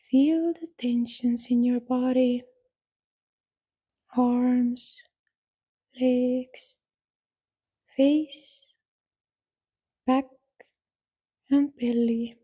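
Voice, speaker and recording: A woman with a soft, breathy voice leads a guided yoga nidra relaxation in a soothing, gentle manner, with long pauses between instructions. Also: a little high, steady tone. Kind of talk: guided relaxation meditation, scripted.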